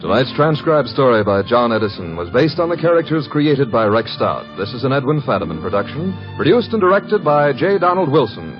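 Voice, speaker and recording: A man's voice: mid-range voice, very animated, fairly quick, hardly any pauses. A man reading scripted credits and promotions as a polished announcer in old-time American network radio style. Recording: muffled old radio broadcast with music.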